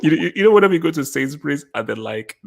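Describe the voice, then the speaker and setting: A man's voice: a little high, animated, very fast, gravelly. A man hosting a casual online football hangout, loose and repetitive, steering the others along. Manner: loose, repeats words, herds the others. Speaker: host. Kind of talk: casual livestream hangout chat.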